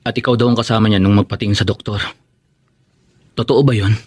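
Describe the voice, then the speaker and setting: A man's voice: lowish voice, fairly even intonation, fast, a little mellow, no echo, clean studio sound, close to an everyday voice. An actor playing an earnest young man in a Filipino radio drama, serious and questioning. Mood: serious, anxious. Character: earnest young bachelor King, seeking the truth.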